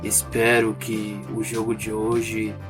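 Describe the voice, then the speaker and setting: A man's voice: lowish voice, monotone, slow. A man playing a tabletop RPG, narrating his character in a dramatic style. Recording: music playing behind the voice. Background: music.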